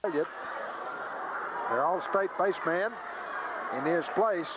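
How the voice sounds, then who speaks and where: A man doing live radio play-by-play of a high school basketball game in clipped running phrases that grow excited on big plays, on old noisy tape audio. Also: slow pace. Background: loud gym noise.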